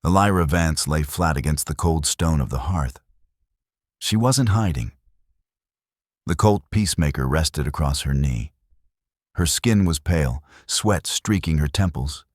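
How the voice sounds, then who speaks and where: A man's voice: a bass voice, animated, measured pace, no echo, studio quality. A man narrating a dramatic Western tale as a scripted storyteller.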